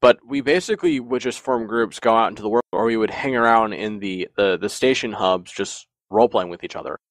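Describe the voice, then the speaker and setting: Brief: lower-pitched man, dry close sound, animated rambling storyteller who laughs a lot. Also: fairly quick, dry recording, rough recording. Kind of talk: casual podcast chat.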